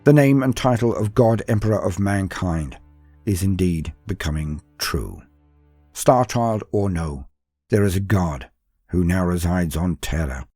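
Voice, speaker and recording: A man's voice: lowish voice, mellow, husky, dry recording. A middle-aged man narrating scripted lore in a grandiose, theatrical storyteller's manner. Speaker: lore channel host.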